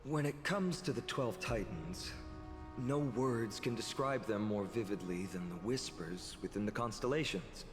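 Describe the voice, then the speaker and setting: A man's voice: fairly low pitch, unhurried, close to an everyday voice. A man voicing an earnest young male swordsman hero in a video game, speaking sincerely and invitingly. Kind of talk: game voice acting. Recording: game audio with long reverb over music.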